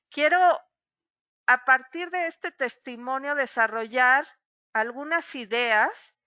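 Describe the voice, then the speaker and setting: Bright, crisp woman teaching. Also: sits high, no room sound, muffled recording. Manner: warm-toned explanatory, frequent "eh" fillers. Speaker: psychologist giving a webinar. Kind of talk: webinar talk addressed to the listener.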